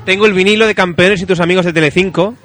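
A man with an accent from Spain hosting a casual radio show, chatting in an excitable, rambling and sweary way. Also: high voice, quick pace, soft background music. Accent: Spanish from Spain. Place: radio studio, at a microphone.

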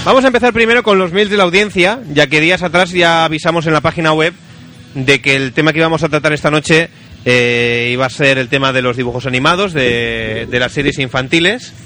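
A male radio host from Spain with a very bright, fairly high voice. He chats casually and reads out emails, leaning emphatically on repeated phrases. Music plays behind him. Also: fairly quick. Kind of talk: casual radio chat, reading listener emails.

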